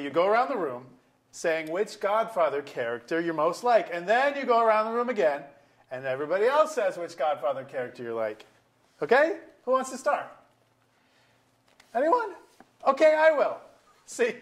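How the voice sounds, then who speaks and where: A man acting a wry, aggrieved, out-of-work middle-aged lawyer in a staged comedy-drama, halting and self-deprecating. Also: sits high, a bit bright. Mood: eager, coaxing. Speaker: actor in a staged reading. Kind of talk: theatrical dialogue reading. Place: museum auditorium stage.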